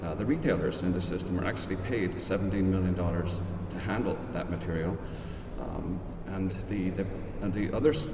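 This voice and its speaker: A middle-aged man with an Irish accent presents the results of an economic study, speaking carefully with many fillers and restarts. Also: sits low. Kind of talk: press conference remarks. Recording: echoey hall, distant mic, background noise.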